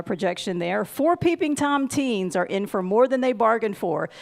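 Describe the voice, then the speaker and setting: A woman hosting a live table read, introducing each actor in an upbeat announcing manner. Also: medium pitch. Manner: upbeat, announcing, with gravelly fry. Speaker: event host introducing the cast. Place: live venue.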